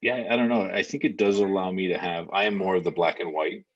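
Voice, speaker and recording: A man with a very low, deep voice talks through a story in a plain, matter-of-fact way. He keeps stopping and restarting his sentences, and "like" and "um" fill the gaps. The audio is slightly muffled and lo-fi, like a home podcast recording. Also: fairly even intonation. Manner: rambling, halting, full of fillers and restarts. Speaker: podcast guest. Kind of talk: casual podcast conversation and storytelling.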